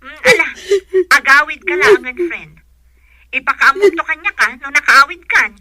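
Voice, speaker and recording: A protective young friend in a radio drama. Her voice is high, piercingly bright and indignant, recorded very dry. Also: expressive, normal speed, dry recording, heavily acted. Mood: sympathetic, indignant. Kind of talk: Ilocano radio drama acting.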